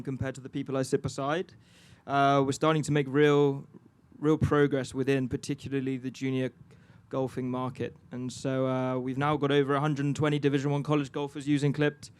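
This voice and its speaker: Male panelist, flat and formal, clean resonant tone. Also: mid-range voice, clear, resonant tone. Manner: measured, formal. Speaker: panelist, business development manager. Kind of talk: conference panel talk.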